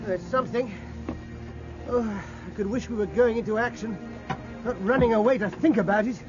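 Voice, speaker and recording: An actor playing an impatient, self-critical young British naval officer in a 1950s radio drama. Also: male voice, sits high, moderate pace, close to an everyday voice. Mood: restless. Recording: dull old radio recording, faint music.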